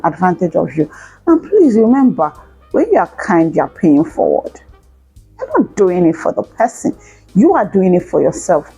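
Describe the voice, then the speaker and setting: A woman's voice: fairly low pitch, dark tone, breathy edge, poor audio. A woman in her thirties chatting earnestly to camera, giving lifestyle advice with a teasing, laughing manner. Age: 30s. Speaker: lifestyle vlogger. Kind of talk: casual advice monologue to camera.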